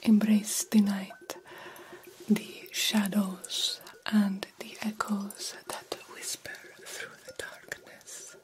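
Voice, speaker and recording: A female vampire doctor, acted by a woman, speaks in a soft whisper for an ASMR roleplay. The delivery is extremely slow and deliberate, with an even, restrained melody and grand, formal phrasing, like a stern mentor to a young fledgling. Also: medium pitch, poor audio. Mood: coaxing, instructive. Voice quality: breathy whisper.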